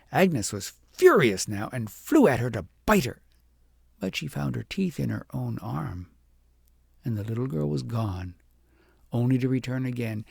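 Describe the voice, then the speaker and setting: Gravelly, husky man narrating with lively swings. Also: mid-range voice, no echo, studio quality. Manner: dramatic, deliberate storytelling. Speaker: audiobook narrator. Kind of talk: expressive scripted fairytale narration.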